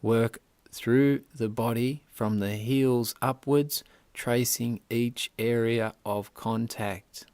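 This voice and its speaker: Slow-paced man guiding a relaxation. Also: mid-range voice, steady tone, a little mellow, no echo. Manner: measured, deliberate, short instructional phrases. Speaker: yoga nidra instructor. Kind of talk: guided relaxation meditation instruction.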